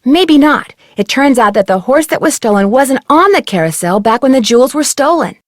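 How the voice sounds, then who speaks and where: A woman's voice: sits high, lively intonation, moderate pace, no room sound, clean studio sound. A woman playing an earnest, friendly young amateur detective in a video game, talking conversationally. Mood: matter-of-fact. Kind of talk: scripted game voice acting.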